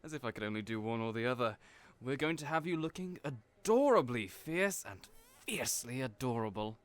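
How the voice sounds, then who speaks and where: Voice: medium pitch, lively intonation, normal speed, natural voice. An actor in an audio roleplay playing a cheerful, chatty hairdresser who is theatrical, effusive and playfully teasing.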